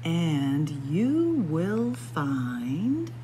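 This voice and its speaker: A woman hosting a story time, reading a picture book to young children in a sing-song way with drawn-out phrases and dramatic pauses. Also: lowish voice, wildly swooping pitch, background noise. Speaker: library story time host. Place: indoors among library bookshelves.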